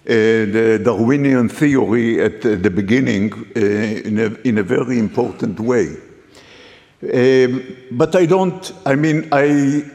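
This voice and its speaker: A man from the Middle East giving a guest lecture, hesitant and halting, with many fillers and restarts. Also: mid-range voice, darkish tone. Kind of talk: academic lecture with slides. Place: lecture hall. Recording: reverberant lecture hall.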